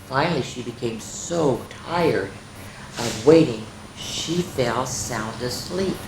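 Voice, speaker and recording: An older woman hosts a children's storytime, reading aloud and chatting in an expressive, folksy way straight to young listeners. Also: very deep voice, slow. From rural United States, farm. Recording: echoey room with background noise.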